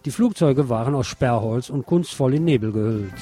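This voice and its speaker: A man's voice: medium pitch, expressive, normal speed, loud music behind the voice. A man narrating a scripted documentary.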